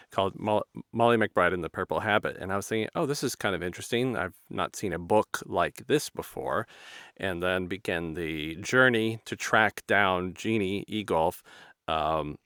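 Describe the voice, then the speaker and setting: A man's voice: sits low, moderate pace, fairly bright tone, no room sound, clean studio sound. A man hosting an interview in a casual, conversational style. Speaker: interview host.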